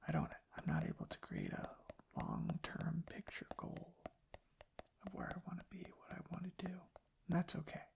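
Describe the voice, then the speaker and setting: Gravelly, whispery soft-spoken ASMR host. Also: medium pitch, wildly swooping pitch. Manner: reflective, unhurried, hushed.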